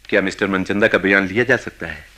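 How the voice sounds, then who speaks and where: A man's voice: low-pitched, quick pace, pushed and bright. A man acting a police investigator in a radio play, formal, probing and insistent.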